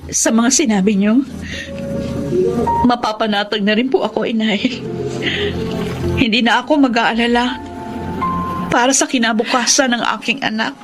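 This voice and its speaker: A woman's voice: a little high, fairly even intonation, normal speed, pauses often, over music, close to an everyday voice. A woman with a Filipino accent acting a worried, heartfelt younger mother in a radio melodrama. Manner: plaintive, earnest.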